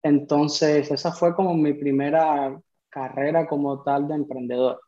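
A man's voice: fairly high pitch, level tone, measured pace, warm tone. A man in his thirties from Cuba, telling his story as a podcast guest in a conversational way. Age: 30s. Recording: slightly dull, lo-fi audio.

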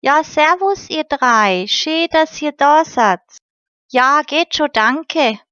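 A woman's voice: high-pitched, expressive, takes it slowly, fairly bright tone, dry recording, highs cut off, pitched above the performer's own voice, exaggerated voice. A woman voicing a cheerful, friendly young girl, chatting in dialect.

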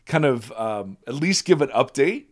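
A man hosting a podcast in casual chat, his voice raspy from a virus, rambling and self-deprecating, often laughing. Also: mid-range voice, lively intonation, moderate pace. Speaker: podcast host.

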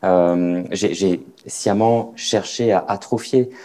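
A man's voice: low-pitched, slow, dark tone. A man working as a consecutive interpreter, rendering speech on the fly and often repeating words as he goes.

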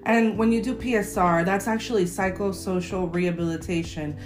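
Young woman, podcast host. Upbeat, gushing delivery, slightly low pitch, echoey room with guitar music underneath. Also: fairly even intonation, fairly quick. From Miami, USA. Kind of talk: casual podcast chat.

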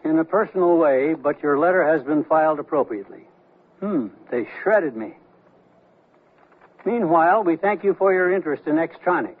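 A man's voice: fairly high pitch, animated, normal speed. An actor playing a stubborn, scheming father in his sixties, with dry, wry phrasing in a radio comedy. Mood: wry, indignant. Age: older. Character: stubborn, scheming sixty-seven-year-old father, undaunted schemer. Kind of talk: 1970s radio comedy acting. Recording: noisy narrowband 1970s radio broadcast.

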